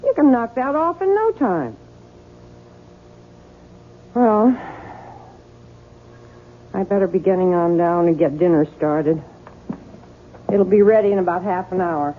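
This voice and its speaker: A woman's voice: lowish voice, expressive, unhurried, long pauses. An actress playing a plain-spoken, nosy older American mother-in-law in a radio drama, chatty, blunt and insistent. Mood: coaxing. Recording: muffled, noisy 1970s radio broadcast.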